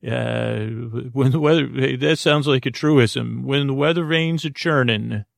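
Male podcast host, low-pitched-neutral creaky voice, close dry sound, meandering bedtime monologue with halting, unfinished thoughts. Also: no room sound.

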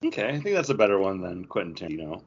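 A man's voice: sits low, big pitch swings, racing, slightly rough, muffled recording. A man co-hosting a podcast, chatting casually about geek news. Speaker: podcast co-host.

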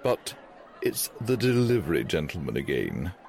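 A man's voice: a bass voice, very animated, moderate pace, creaky, a little mellow, faint music behind, heavily acted. A man playing a stiff, polite, deferential household butler in an old-time radio drama, with party chatter and faint noise behind. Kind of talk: 1940s-style radio drama acting.

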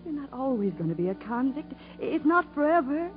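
Imploring convict's wife, high pitch, halting earnest delivery, vintage radio acting, very tinny narrow-band sound. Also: female voice, sing-song. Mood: pleading, lonely. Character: devoted, lonely, pleading wife of a convict. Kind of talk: 1950s radio melodrama acting. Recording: thin, phone-like old radio broadcast, music.

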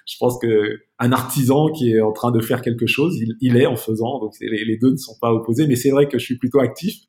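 A man's voice: medium pitch, brisk, a little mellow. A man speaking as a podcast guest in a casual, reflective interview, searching for his words and often repeating them.

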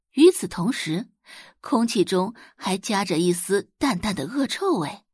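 A woman's voice: medium pitch, slightly rough, dry recording, clean studio sound. A woman reading scripted dramatic narration as an audiobook narrator.

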